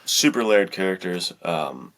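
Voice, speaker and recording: Deep-voiced, slangy, enthusiastic man. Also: animated, a little slow, a bit bright. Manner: hyped, slangy, emphatic praise, frequent "dude". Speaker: podcast co-host and writer. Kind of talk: casual book chat.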